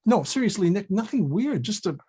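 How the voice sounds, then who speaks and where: A man playing an anxious, sheepish actor with a husky voice in a comedy table read. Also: sits high, sing-song, a little fast, dark tone, heavily acted. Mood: awkward, defensive. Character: anxious, awkward adult male actor, defensive and sheepish.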